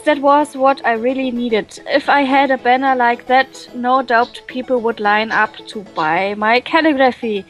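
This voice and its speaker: A woman reading a visual novel aloud and translating it, with many fillers and pauses. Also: sits high. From Germany. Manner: hesitant, many fillers, laughs often.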